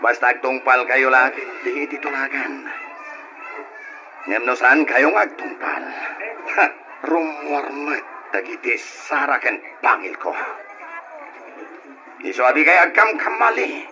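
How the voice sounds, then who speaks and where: An actor playing a greedy, brash moneylender husband who gloats and chuckles in an old radio drama, speaking with an Ilocano accent. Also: male voice, medium pitch, unhurried, long pauses, close to an everyday voice. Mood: gloating, menacing. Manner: gloating, swaggering, often chuckling. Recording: muffled, noisy old radio drama recording.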